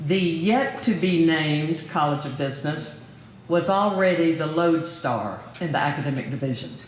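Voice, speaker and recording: An older woman speaks at a podium mic in a roomy banquet hall, her words carrying an echo off the walls. She mixes gentle humor with formal gratitude, with a faint hum of the room behind her. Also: on the low side. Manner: warm, lightly humorous, formal. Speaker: hall of fame inductee, former professor. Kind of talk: acceptance speech. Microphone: podium microphone.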